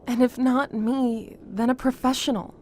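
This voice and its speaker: A woman acting in an audio drama as a caring, frank friend, gentle but direct in manner. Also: on the high side, steady tone, measured pace, a little hiss or hum, natural voice. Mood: earnest.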